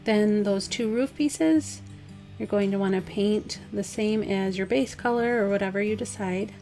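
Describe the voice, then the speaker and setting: A woman with a slightly hoarse, dry voice, casually talking through a DIY craft project step by step. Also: mid-range voice, measured pace, faint music behind. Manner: relaxed, chatty, step-by-step instructions with fillers. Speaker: craft vlogger. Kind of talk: casual DIY tutorial voice-over.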